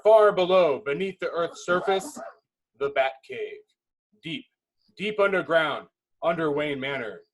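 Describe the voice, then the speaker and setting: Husky, higher-pitched male script narrator. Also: poor audio. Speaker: narrator reading stage directions.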